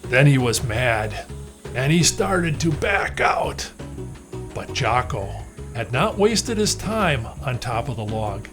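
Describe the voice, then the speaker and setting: A man reading a children's storybook aloud as an expressive storyteller. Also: fairly high pitch.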